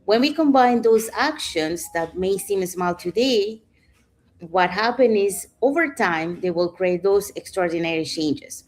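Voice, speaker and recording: A woman's voice: medium pitch, animated, a little slow, darkish tone. A middle-aged woman from Panama hosting a live online panel talk, chatty, with many fillers like "you know". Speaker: host.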